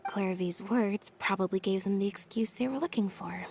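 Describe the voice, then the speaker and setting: A woman's voice: mid-range voice, moderate pace, gravelly, a little mellow, slight background noise, lightly acted. A woman playing a level-headed, reserved young sister in a video game, speaking in a detached, matter-of-fact way. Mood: cool, analytical.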